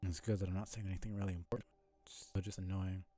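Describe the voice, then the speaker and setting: A man's voice: low-pitched, flat delivery, racing, dark tone. A man casually commentating a live video game stream, rambling along in a mumbly, mildly annoyed way.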